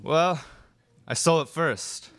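A man's voice: on the high side, takes it slowly, fairly bright tone. A man playing a nervous, hapless small-time bank robber in a Western radio play, hesitant and defensive. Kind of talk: student live radio play read from script.

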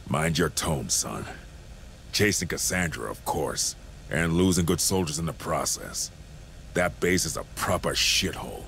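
An actor playing a hardened, cynical security captain in a video game, gruff, gravelly and sardonic. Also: male voice, a bass voice, a little slow, a bit bright, a little hiss or hum, exaggerated voice. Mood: sardonic calm. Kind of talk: cinematic game acting.

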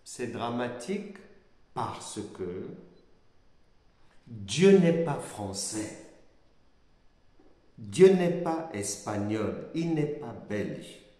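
A middle-aged male pastor teaches scripture in a very slow, deliberate way, his pitch rising and falling with lively emphasis. He repeats key phrases for weight. The sound is roomy, with a long reverb tail from the small room. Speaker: pastor teaching a Bible course. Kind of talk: sermon-style Bible teaching.

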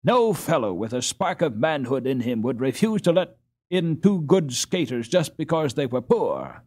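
Guy doing a confident teen boy from a storybook, firm and fair, higher pitch. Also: very animated, normal speed, darkish tone. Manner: firm, challenging. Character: fair-minded, confident teenage boy skater.

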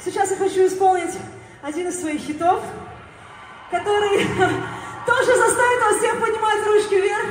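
A woman's voice: very high-pitched, fairly even intonation, moderate pace, over music. A woman singer addressing the crowd from the stage, effusive and enthusiastic. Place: arena stage, heard through the PA. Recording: distant PA, long arena reverb, noise.

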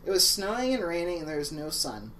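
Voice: mid-range voice, sing-song, quick pace, a bit bright, distant mic, slight background noise. A let's play co-host reading fan fiction aloud in a mock-dramatic, sarcastic way, with joking asides. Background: game music.

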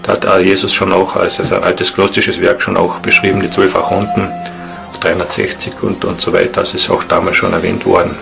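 A man explaining things spontaneously in an interview, halting and earnest. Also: deep voice, flat delivery, vocal fry, over music. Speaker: interviewee, esoteric practitioner.

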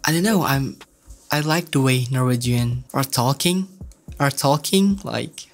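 A man's voice: medium pitch, measured pace. A young man chatting shyly and hesitantly on a video call from a small home studio, speaking into a close desk mic. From Indonesia. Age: young adult. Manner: soft-spoken, bashful, hesitant. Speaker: singer and video chat host. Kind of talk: casual video chat between songs. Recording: guitar music in the background.

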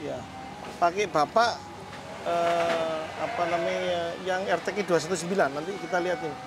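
A middle-aged man, walking through outdoor livestock barns and explaining his goat farm in a relaxed, matter-of-fact way. He speaks into a lapel mic. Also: fairly high pitch, moderate pace, heavy background noise. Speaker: goat farm owner giving a tour. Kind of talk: casual explanatory tour talk. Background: background music.

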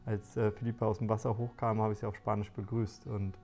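A man in his forties talking in a relaxed interview about family life, thoughtful and full of small fillers and restarts, recorded on a lapel mic indoors. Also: fairly low pitch, quick pace, soft background music. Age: 40s. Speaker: interviewee, father on a shared farm. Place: bright living room indoors.

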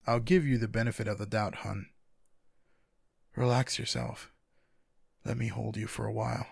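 A man playing a tender, teasing, sleepy lover in an intimate boyfriend ASMR roleplay, speaking softly and affectionately in a husky, gravelly voice. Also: fairly low pitch, level tone, dry recording, clean studio sound, heavily acted. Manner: soft, affectionate, unhurried. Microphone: close microphone, dry.

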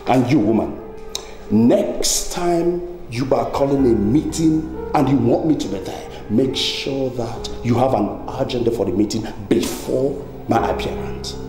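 A man's voice: medium pitch, a little slow, natural voice. An actor playing an arrogant, combative young man who defies his family in a drama. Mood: dismissive. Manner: insolent, combative. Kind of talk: dramatic film acting. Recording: echoey room, music behind.

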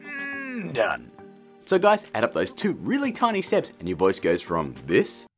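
A man in his thirties energetically hosting a software tutorial, chatty and jokey, from a home studio. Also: on the high side, wildly swooping pitch, unhurried, soft background music. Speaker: video tutorial host.

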